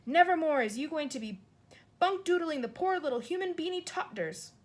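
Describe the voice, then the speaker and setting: A woman acting out a kind, bumbling giant in a storybook reading, pitched up high and loud as he cries out in jumbled grammar. His voice swings widely and tumbles through made-up words. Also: expressive, moderate pace. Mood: distressed. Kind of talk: audiobook character voice.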